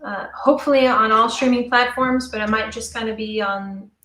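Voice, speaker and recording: A woman's voice: a little high, steady tone, moderate pace, a bit bright, a little hiss or hum. Young woman guest on an interview, earnest casual chat, echoey room sound. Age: young adult. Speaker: interview guest, audio drama writer and producer.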